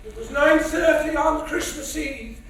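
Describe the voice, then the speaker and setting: An actor playing an anxious, haunted older gentleman in a stage drama, stiff, formal, earnest and hesitant in his speech. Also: very high voice, unhurried. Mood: forced projection. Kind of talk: theatrical stage acting. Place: theatre stage in live production. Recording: echoey theatre stage, distant mic, faint hiss.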